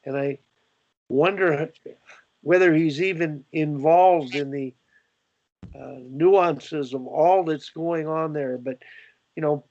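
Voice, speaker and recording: A man's voice: a little high, slow pace, long pauses, darkish tone, no room sound. An older man with a Canadian accent, hosting a coaching discussion on a video call and talking in a rambling way, full of fillers and restarts. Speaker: host. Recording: dull, dry video call audio.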